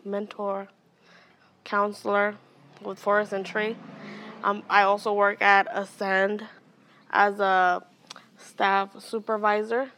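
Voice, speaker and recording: Soft-spoken young woman from Oakland. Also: medium pitch, little pitch movement, slow pace, long pauses, slight background noise. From Oakland, California. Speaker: guest, educator and mentor. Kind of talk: outdoor interview. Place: outdoors.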